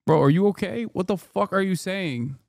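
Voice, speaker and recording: A man in his thirties, speaking a little high, reacts to news footage on a livestream. He is sarcastic and swears freely, and his close mic sounds dry with no room around it. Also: normal speed, creaky, dry recording. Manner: sarcastic, profane, talks over clips. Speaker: streamer reacting to news clips. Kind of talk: casual livestream commentary.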